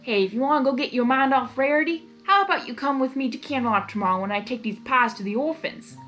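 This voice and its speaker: A woman's voice: sits high, fast talker, fairly bright tone, soft background music, pitched above the performer's own voice. A woman voicing a kindly, plain-spoken cowgirl pony with a folksy country drawl, in a storybook reading. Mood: earnest, helpful.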